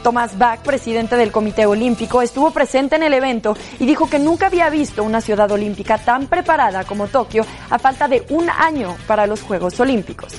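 A woman anchors a sports news segment, reading headlines in a measured delivery. Her voice is a bit high. Faint music plays under her. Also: animated. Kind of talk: scripted news read.

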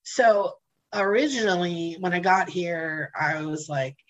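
A woman guest in a casual interview, telling candid, animated stories, swearing casually and laughing often. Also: fairly low pitch, a little slow, low-quality recording. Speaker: interview guest, blues jam host.

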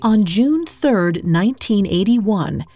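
A woman hosting a podcast, reading scripted true-crime narration in a measured, dramatic storytelling manner. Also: medium pitch, expressive, mellow, no echo. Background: music.

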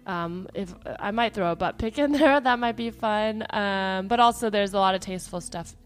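A woman hosting a podcast in a casual, chatty way, her voice hoarse and partly lost after travel, with many fillers. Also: mid-range voice, normal speed, faint music behind. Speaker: podcast host.

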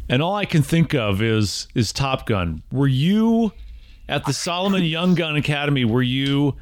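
A man's voice: mid-range voice, animated, unhurried, no room sound. A man hosting a casual interview podcast, teasing his guest and building up his story in a playful, dramatic way. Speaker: podcast host.

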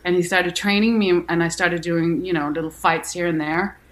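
Candid low-voiced woman from Denmark. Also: steady tone, normal speed. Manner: candid, many restarts and "you know" fillers. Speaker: actress and musician guest. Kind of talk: casual interview answers.

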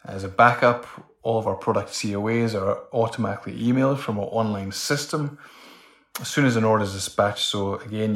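Low-voiced Scottish man, flat delivery. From Scotland. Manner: plain, measured explaining. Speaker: guest, operations manager. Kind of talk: podcast interview answers.

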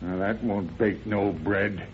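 An actor playing a stern old rural American father, gruff, folksy and scolding, in old-time radio drama style. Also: on the low side, slow. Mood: scornful, stern. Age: older. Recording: tinny, noisy old radio recording.

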